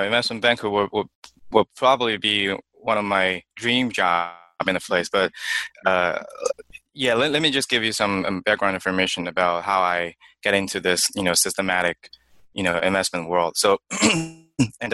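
A young man from China, guest in an interview, answering in a halting way with many fillers and restarts. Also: fairly low pitch, sharp tone, dry recording, low-quality recording. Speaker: investment manager guest.

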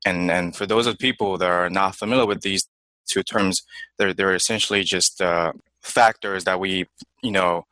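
A young man from China, guest in an interview, answering in a halting way with many fillers and restarts. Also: low-pitched, steady tone, pushed and bright, dry recording, rough recording. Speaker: investment manager guest.

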